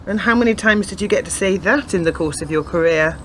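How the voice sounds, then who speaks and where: A wry female detective played by an actress, new to the rank. She talks in a measured way at a middle pitch, in radio drama style. Clear background noise sits behind her voice. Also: natural voice. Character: newly promoted female detective, wry adult daughter. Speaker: actor in a community radio play.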